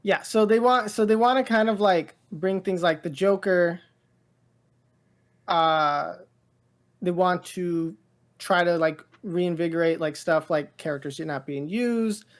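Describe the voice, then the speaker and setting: A woman co-hosting a casual pop culture podcast, rambling and halting as she thinks aloud. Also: lowish voice. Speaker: podcast co-host.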